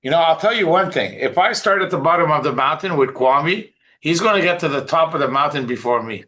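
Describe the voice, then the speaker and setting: Bright, forceful, higher-pitched man hosting. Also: animated, a little fast. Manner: insistent, conversational, repeats points and checks in with "right?". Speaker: online team call host. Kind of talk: unscripted motivational talk.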